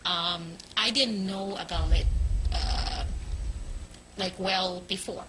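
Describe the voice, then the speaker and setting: A woman's voice: medium pitch, steady tone, takes it slowly, background noise. A woman from Hong Kong, a guest in a casual interview, telling her story in a chatty way with frequent restarts.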